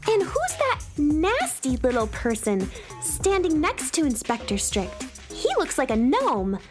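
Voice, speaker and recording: A woman's voice: sits high, wildly swooping pitch, unhurried, soft background music, exaggerated voice. A woman playing a wide-eyed, kind-hearted young space adventurer in a children's audio drama, earnest and wondering. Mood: wary, wondering.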